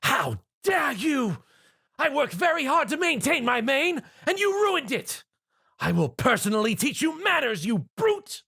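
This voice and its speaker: A man voicing a dramatic, refined young woman who is a fashionista, in a high put-on voice. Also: very animated, a little slow, creaky, sharp tone, heavily acted. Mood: outraged.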